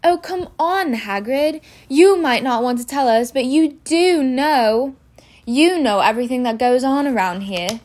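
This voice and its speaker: A woman's voice: a little high, normal speed, voice pushed up, close to an everyday voice. A woman voicing a clever, persistent schoolgirl in a storybook, with a coaxing and pointed manner. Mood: coaxing, flattering. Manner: flattering, pointed.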